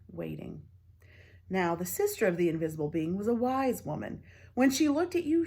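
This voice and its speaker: A woman teacher reading a picture book aloud to children, expressive, pausing for soft whispered asides. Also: mid-range voice, breathy edge.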